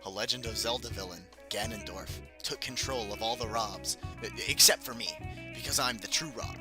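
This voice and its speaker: A man's voice: lowish voice, normal speed, loud music behind the voice, lightly acted. A man voicing an earnest male robot hero, speaking in a plain, matter-of-fact way close to his own voice. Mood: explaining.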